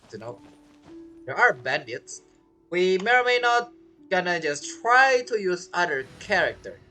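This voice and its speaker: A gamer with a bright, mid-pitched voice comments casually on play. The delivery is lively and swings around in pitch while thinking aloud. Faint game music is behind it. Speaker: game streamer. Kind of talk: casual live gameplay commentary.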